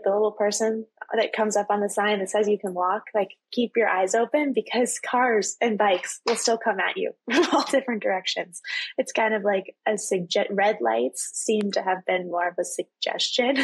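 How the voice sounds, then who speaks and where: A woman in her twenties from Texas, a student guest chatting casually in an interview, full of fillers and quick laughs. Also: medium pitch, slightly rough, no room sound, poor audio. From Texas, USA. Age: 20s. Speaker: podcast guest, university student.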